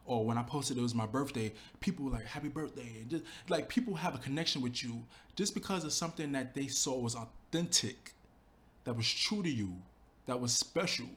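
A man's voice: mid-range voice, a little fast. Earnest man reflecting on his channel. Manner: earnest, animated storytelling with fillers like "you know". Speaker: YouTuber talking about his channel. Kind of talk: casual reflective monologue.

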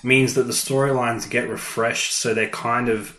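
A man's voice: medium pitch, level tone, normal speed, bright, forceful tone, reverberant, a little hiss or hum. A man co-hosting a podcast, chatting casually with commentary and dry, teasing asides. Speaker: podcast co-host.

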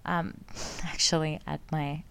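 A woman's voice: low voice, little pitch movement, takes it slowly, vocal fry, fairly bright tone, smooth. A young woman from Greece, hosting a casual solo podcast, rambling and correcting herself as she talks. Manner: rambling, self-correcting, laughs often. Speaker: podcast host, astrology student. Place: outdoors with sirens around.

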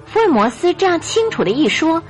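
A woman's voice: extremely high pitch, very animated, a little fast. A woman narrating a scripted radio drama as a storyteller. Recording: radio drama with music underneath.

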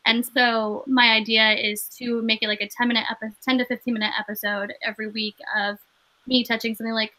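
A woman's voice: fairly high pitch, little pitch movement, fairly quick, pushed and bright, rough recording. A young woman from Maine, an actress and comedian, chatting casually as an interview guest with many "like" fillers.

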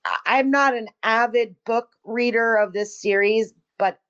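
A woman co-hosting a podcast in casual, opinionated chat, blunt and sarcastic and quick to swear. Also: on the high side, fairly bright tone, smooth, dull audio. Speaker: podcast co-host.

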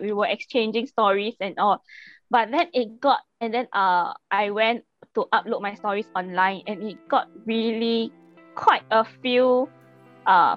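Woman in her late twenties, higher voice, muffled audio. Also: no room sound. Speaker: podcast guest, amateur fiction writer. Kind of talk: casual chat. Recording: dull lo-fi audio with music.

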